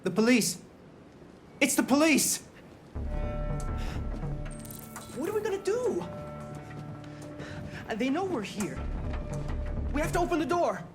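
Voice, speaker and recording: A man playing a hot-headed, fearful young son in a cinematic video game, agitated and forceful. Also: extremely high pitch, big pitch swings, measured pace, long pauses, music in the background, heavily acted. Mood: panicked. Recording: dramatic score under the voice.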